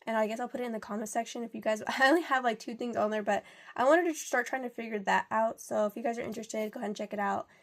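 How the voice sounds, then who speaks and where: A woman's voice: a little high, quick pace. A young woman with a congested voice from a cold, chatting in a rambling way while counting out cash for her budget. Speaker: budgeting vlogger.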